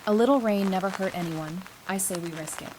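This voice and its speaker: A woman's voice: medium pitch, fairly quick, darkish tone, natural voice, rough recording. Woman acting a plucky, wry survivor in rain. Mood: playful. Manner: casual, wry, teasing. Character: plucky, teasing, warm-hearted young woman survivor. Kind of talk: audio drama acting. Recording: clear rain noise behind. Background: rain ambience.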